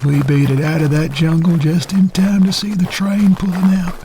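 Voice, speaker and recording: A man's voice: high-pitched, flat delivery, normal speed, mellow, slight background noise. An actor playing a streetwise drifter narrating his adventures in an audio drama, plainspoken and slangy. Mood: relieved.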